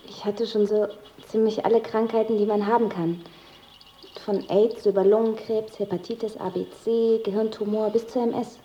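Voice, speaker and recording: Woman playing an eager, mellow-voiced hypochondriac. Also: mid-range voice, steady tone, moderate pace, natural voice. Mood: confiding. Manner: confiding, matter-of-fact about illnesses. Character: eager, confiding female hypochondriac admirer. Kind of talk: naturalistic radio drama acting. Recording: roomy, slightly dull sound.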